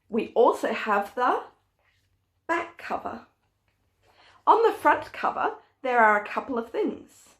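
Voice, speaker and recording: Woman teacher with slow, lively, high-pitched delivery. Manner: simple, deliberate explaining with questions to children. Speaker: early primary school teacher. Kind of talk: read-aloud lesson for young children.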